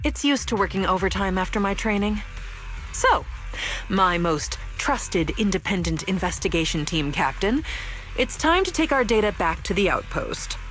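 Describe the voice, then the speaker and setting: A woman's voice: mid-range voice, very animated, normal speed, a bit bright. An actress playing a playful, rebellious young woman researcher in a video game, teasing, breezy and sure of herself. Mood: teasing, upbeat. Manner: teasing, breezy, self-assured. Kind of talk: scripted video game story dialogue. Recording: music behind the voice.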